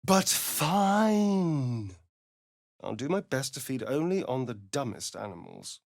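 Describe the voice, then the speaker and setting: An actor voicing a vain, sardonic vampire spawn rogue in a video game, arch and theatrical with a drawling delivery. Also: male voice, medium pitch, sing-song, slow pace, no room sound, studio quality. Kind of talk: video game character voice lines.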